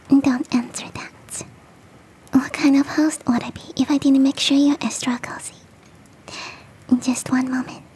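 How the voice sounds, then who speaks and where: Girl doing a sweet motherly yeti lady for asmr rp, high breathy whisper, really mellow, doting and teasing. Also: a little hiss or hum. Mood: soothing, playful. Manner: cooing, nurturing, slow and teasing. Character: big fluffy female yeti, motherly, gentle and doting. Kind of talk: ASMR roleplay.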